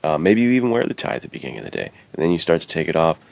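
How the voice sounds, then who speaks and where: A man's voice: extremely low pitch, wildly swooping pitch, rapid-fire, creaky. A man co-hosting a podcast in casual, slangy chat, often saying "dude" and "like". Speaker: podcast co-host.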